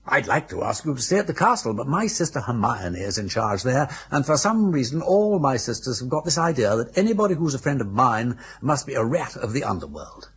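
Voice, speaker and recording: An actor playing a jaunty, meddling older English gentleman with husky, breezy upper-class banter in a radio drama. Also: medium pitch, big pitch swings, brisk, hardly any pauses, heavily acted. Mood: rousing, bossy.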